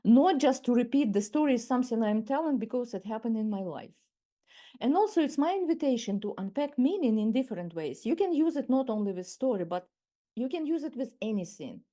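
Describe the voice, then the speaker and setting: A Ukrainian-accented woman of around sixty leads a webinar masterclass over a video call. Her voice sits a little high but sounds mellow. She speaks in a measured way and often circles back to restart her phrases. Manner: measured, with frequent restarts and repeated words. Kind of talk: webinar talk.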